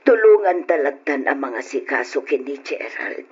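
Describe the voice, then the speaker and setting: An old wife and mother, full of worry. Her voice is extremely raspy, and she frets plaintively in old radio-drama style on a dull recording. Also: rumbling low, lively intonation, normal speed, a little mellow, full character voice. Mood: worried. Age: older. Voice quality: husky, raspy. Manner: fretful, plaintive. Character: anxious, worried elderly wife and mother.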